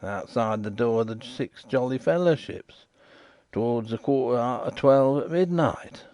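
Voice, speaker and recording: A man's voice: mid-range voice, measured pace, darkish tone, close to an everyday voice. A man voicing a shifty, indignant riverside informer, insistent and repetitive.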